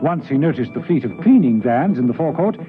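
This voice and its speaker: A man's voice: on the high side, lively intonation, quick pace, music in the background. A man narrating a spy radio drama in a dramatic, scripted storytelling style. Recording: tinny, noisy old radio broadcast.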